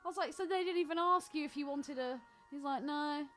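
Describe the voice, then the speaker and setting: A southern English woman with a fairly high voice chats as a podcast co-host. She tells stories in a gossipy, blunt way, full of slang and swearing. Music sits faintly in the background. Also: brisk, fairly bright tone. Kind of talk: casual chat, gossipy storytelling.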